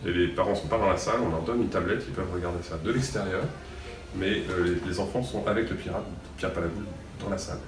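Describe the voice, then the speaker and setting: A man's voice: sits low, fairly even intonation, echoey room, distant mic. A young man from Montreal, explaining his escape game business in an interview, with many fillers. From Montreal, Quebec. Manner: fast, filler-heavy explaining. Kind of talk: promotional interview.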